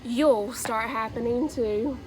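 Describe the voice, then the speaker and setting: Woman in her twenties, high-pitched, slow and deliberate, storybook read-aloud, sing-song phrasing. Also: slight background noise, poor audio. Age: 20s. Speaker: new education graduate reading a children's book.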